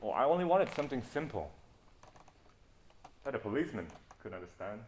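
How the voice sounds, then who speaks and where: An actor playing a cool, mocking, self-assured adventurer captain in a stage play, with a sardonic, taunting manner. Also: male voice, mid-range voice, big pitch swings, fairly quick, darkish tone, a little hiss or hum, close to an everyday voice. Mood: scornful. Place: stage performance.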